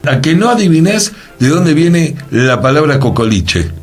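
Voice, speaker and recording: A man's voice: fairly high pitch, sing-song, moderate pace. A man with a Rioplatense Argentine accent presenting a radio programme. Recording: music playing behind the voice. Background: music.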